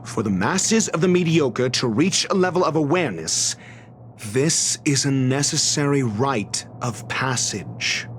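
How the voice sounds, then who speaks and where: A man as an arrogant, unhurried scholar-doctor. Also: mid-range voice, animated, a little hiss or hum, lightly acted. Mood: composed, aloof. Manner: pompous, measured, formal theatrical phrasing. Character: arrogant, erudite male scholar-doctor, fastidious and disdainful of fools. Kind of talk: scripted video game cutscene dialogue.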